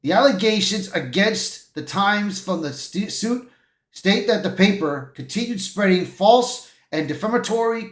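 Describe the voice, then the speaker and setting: A man's voice: high voice, echoey room. A man hosting a livestream, reading articles aloud with casual, opinionated commentary and the odd stumble over words. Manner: informal, opinionated, punctuated with "bro", "man" and "dude". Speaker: livestream host.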